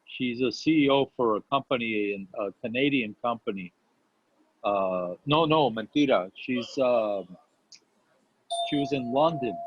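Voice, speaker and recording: A man's voice: mid-range voice, slightly rough. A native Spanish-speaking man chatting casually in a group discussion, searching for his words as he goes. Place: video call. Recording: muffled, noisy video call audio.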